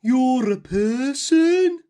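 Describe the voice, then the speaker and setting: A man voicing a huge, lonely storybook giant in a loud, slow, drawn-out voice. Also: very high-pitched, lively intonation, clean tone, voice pushed up, full character voice. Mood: startled, booming.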